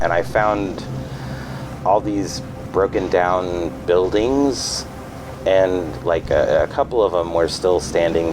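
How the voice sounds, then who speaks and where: An actor playing a bewildered man in a naturalistic audio drama, speaking haltingly and repeating his words. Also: low-pitched, noisy background. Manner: hesitant, stammering, repeats words. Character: bewildered, halting man living alone in a rural house. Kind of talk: naturalistic fiction podcast acting, conversational.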